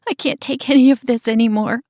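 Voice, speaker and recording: A woman's voice: medium pitch, fairly even intonation, normal speed, a little mellow, dry recording, lightly acted. A woman voicing a frightened young woman who talks in a shaky voice close to tears. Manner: shaky, close to sobbing, then bitter. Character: frightened, tearful young woman, a protective older sister.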